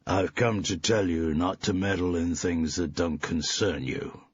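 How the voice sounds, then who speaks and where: A man voicing a big, slow-witted young man who talks with a slight borrowed American twang in a staccato, rehearsed way. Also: sits low, steady tone, husky, muffled recording. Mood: flat menace.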